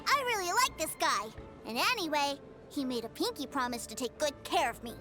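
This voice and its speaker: A woman playing an innocent, excitable little boy with a childlike voice in a video game. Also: sits high, fairly quick, over music. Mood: proud, eager. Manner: excitable, wide-eyed. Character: eager, innocent little boy adoring his big brother.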